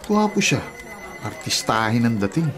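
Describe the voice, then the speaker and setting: A man's voice: mid-range voice, big pitch swings, moderate pace, fairly bright tone. A wounded, jealous suitor. He complains in a swooping radio drama delivery, with music and a crowd of voices behind him. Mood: jealous, plaintive. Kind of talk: Tagalog radio drama acting.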